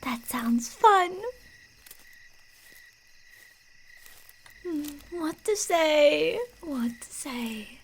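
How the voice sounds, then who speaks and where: Woman voicing a creepy yandere female stalker, fake park ranger, high-pitched, falsely sweet, teasing, breathy whispering, ASMR roleplay. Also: animated, extremely slow, a little hiss or hum, exaggerated voice. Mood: playful, coaxing.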